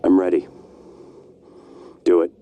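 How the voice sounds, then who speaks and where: Mellow, cocksure scientist. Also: male voice, sits low, little pitch movement, slow, soft background music. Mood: confident, resolute. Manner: casual, self-assured, wry. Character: confident, flippant male scientist recording himself.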